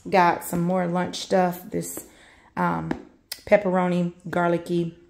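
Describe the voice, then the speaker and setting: A woman in her thirties talks slowly through a grocery haul, filling gaps with "um" as she names each item. Her voice sits low with a gravelly fry. A faint hiss runs under the home phone recording. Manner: relaxed, rambling, many "um"s while listing items. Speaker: vlogger showing a grocery haul. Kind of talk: casual show-and-tell narration. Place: home kitchen, indoors.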